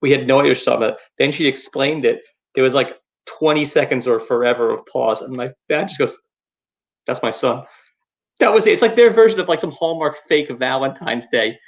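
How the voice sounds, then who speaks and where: A man's voice: medium pitch, animated, brisk. A man with a New York accent, co-hosting a casual comedic chat and telling wry stories. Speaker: podcast co-host.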